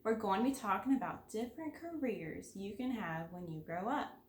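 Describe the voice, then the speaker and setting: A woman voicing a firm but patient kindergarten teacher in a storybook, measured and instructive. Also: mid-range voice, animated, roomy sound, slight background noise, close to an everyday voice. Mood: announcing.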